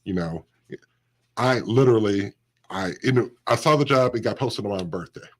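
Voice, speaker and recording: A man with a deep, low voice chats in a radio studio interview. He tells his story in an upbeat, animated way, clearly a seasoned radio personality. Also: moderate pace. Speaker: radio host, guest.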